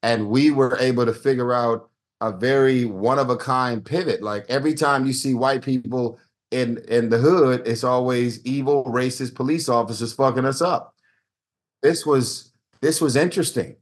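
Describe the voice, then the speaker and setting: A man's voice: mid-range voice, moderate pace. Boisterous man from South Central LA. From South Central Los Angeles. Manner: boisterous, profane, storytelling. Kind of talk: lively interview talk.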